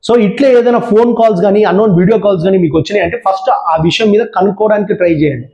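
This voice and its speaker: High-voiced man, echoey room. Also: quick pace, warm tone, lo-fi sound. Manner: earnest, repetitive warnings addressed straight to viewers. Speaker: channel presenter. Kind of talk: public awareness talk to viewers. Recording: roomy echo.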